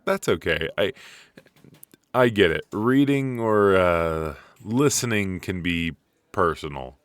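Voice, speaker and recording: A low-voiced, sociable man played by a male voice actor chats in an ASMR coffee shop roleplay. He takes his time, reassuring and friendly, leaving gaps for an unheard partner. The sound is close and dry. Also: expressive, no room sound. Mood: curious, easygoing. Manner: conversational, reassuring, with pauses for an unheard listener. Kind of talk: ASMR roleplay, casual one-sided conversation.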